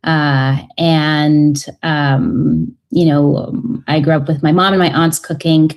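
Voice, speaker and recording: A low-pitched woman in her thirties speaks as a guest on a radio talk show. She talks in a thoughtful, halting way, with frequent ums and uhs, explaining her cooking. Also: level tone, a little mellow. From United States. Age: 30s. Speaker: cookbook author guest. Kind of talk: radio interview.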